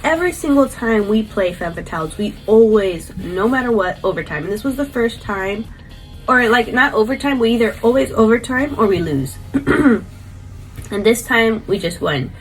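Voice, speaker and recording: A woman's voice: fairly high pitch, expressive, normal speed, soft background music, poor audio. A young woman, stuffy and congested from a cold, chatting casually through a rambling vlog recap full of fillers. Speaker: vlogger and paintball player.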